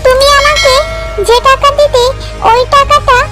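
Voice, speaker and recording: A woman's voice: very high voice, level tone, measured pace, pushed and bright, faint music behind, heavily acted. A woman voicing an eager little fox girl in a children's cartoon, childlike and sweetly coaxing. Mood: pleading, eager.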